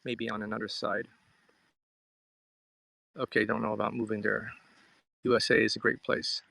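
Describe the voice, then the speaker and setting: A man's voice: on the low side, level tone, moderate pace, gravelly, sharp tone, no echo. A man from Hong Kong live-streaming in a casual, musing, conversational way, reading comments aloud and replying to viewers. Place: outdoors at a riverside.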